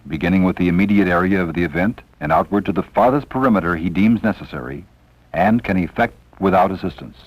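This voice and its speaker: A man reading scripted documentary narration in a formal, measured, emphatic way. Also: low-pitched, no room sound, lo-fi sound. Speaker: training film narrator. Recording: 1970s training film soundtrack.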